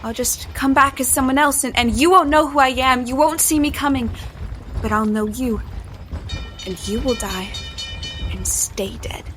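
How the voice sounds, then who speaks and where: Woman playing an anxious, high-voiced young heroine. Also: moderate pace, natural voice. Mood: defiant. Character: anxious young woman heroine, resourceful, narrating her own mystery. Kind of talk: first-person audio drama narration and dialogue.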